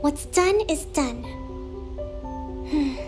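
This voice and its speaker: An actress playing a gentle, dutiful young woman leader in a video game, measured, formal and soft-spoken, over music. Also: high voice, lively intonation, full character voice. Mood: resolute, gentle.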